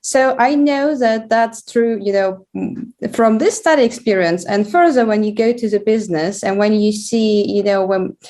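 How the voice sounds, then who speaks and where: A woman's voice: on the high side, normal speed, a little mellow. A woman, speaking thoughtfully as a panel guest. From Russia. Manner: thoughtful, leans on "you know". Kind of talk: conversational talk.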